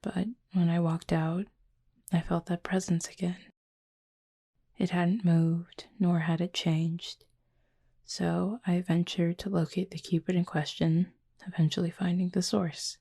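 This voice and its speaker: Woman voicing a soft, husky, low-pitched gentle Cupid. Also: flat delivery. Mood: quiet, reflective. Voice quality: soft, breathy, husky, often near-whisper. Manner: slow, measured, formal storytelling with soft pauses. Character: gentle adult Cupid roommate, wistful, affectionate, softly teasing. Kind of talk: intimate ASMR audio roleplay.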